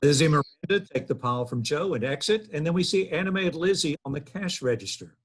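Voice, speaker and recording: A man's voice: a little high, animated, quick pace, breathy edge. A man in his thirties reading scripted stage directions at a table read over a video call. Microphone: computer on video call.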